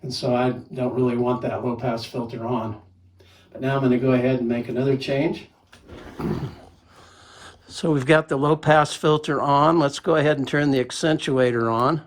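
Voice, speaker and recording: A man's voice: mid-range voice, fairly even intonation, moderate pace, echoey room, recorded from a distance. A man casually reviewing a microphone, talking plainly and counting off mic tests. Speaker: gear reviewer. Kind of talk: casual product demo with mic checks.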